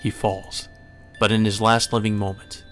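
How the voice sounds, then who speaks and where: A man reading a visual novel aloud as dramatic, solemn and measured narration. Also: lowish voice, little pitch movement, a bit bright. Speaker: narrator, let's play reader. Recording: music behind the voice.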